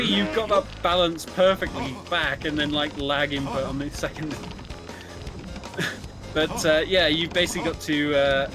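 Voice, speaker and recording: A man giving casual, chatty co-commentary on a video game, explaining it hesitantly with some light joking. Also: a little high. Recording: game music behind the voice. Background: video game music.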